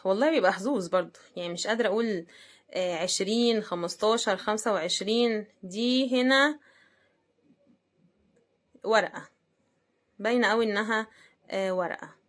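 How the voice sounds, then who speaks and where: A woman's voice: mid-range voice, normal speed, long pauses. A woman with an Egyptian accent giving a casual, chatty running commentary as she unboxes things, often thinking aloud. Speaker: unboxing video host.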